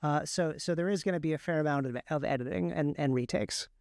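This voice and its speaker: A man's voice: on the high side, fast, gravelly, a little mellow, no room sound, clean studio sound. A man speaking casually as an interview guest, explaining his craft and often repeating words mid-sentence. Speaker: guest, author and audiobook narrator.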